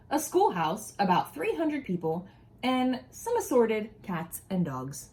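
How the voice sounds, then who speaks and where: A woman reads a picture book aloud for young children. Her intonation swings widely around a medium pitch, and she phrases the story deliberately, as a storyteller pointing things out to small listeners. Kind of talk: expressive read-aloud storytelling with asides.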